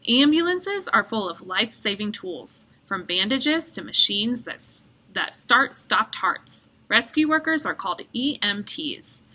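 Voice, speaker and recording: A woman reading a children's picture book aloud as an expressive storyteller. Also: medium pitch, measured pace, hardly any pauses, low-quality recording.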